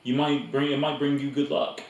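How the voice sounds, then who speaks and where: A man's voice: medium pitch, little pitch movement, normal speed, reverberant, recorded from a distance, pitched above the performer's own voice, natural voice. A man voicing a knowing, self-assured farm girl with a confident, matter-of-fact manner in a storybook. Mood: kindly.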